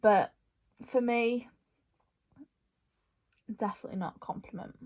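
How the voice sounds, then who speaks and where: A woman's voice: fairly high pitch, unhurried, a little mellow. A woman, chatting casually through a makeup Q&A, rambling with lots of fillers. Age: 20s. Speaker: vlogger.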